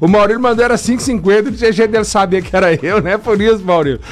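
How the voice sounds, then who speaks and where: A man's voice: sits high, rapid-fire. A man hosting a morning radio show, chatty and joking, sending greetings to listeners. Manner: jokey, chatty, laughs often. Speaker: radio host. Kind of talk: morning radio shout-outs.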